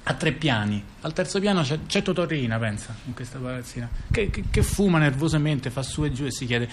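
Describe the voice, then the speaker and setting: Halting male storyteller over background noise. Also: medium pitch, lively intonation. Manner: halting, repetitive, stammered restarts and frequent tag questions. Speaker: monologue performer in a two-person radio theatre dialogue. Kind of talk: improvised-sounding theatrical storytelling.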